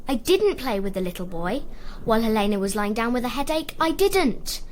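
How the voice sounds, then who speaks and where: A woman's voice: a little high, sing-song, fairly quick, a little hiss or hum. An actress playing a small Roman girl, a cheeky, guarded and rather prim niece, in a radio drama. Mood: defensive.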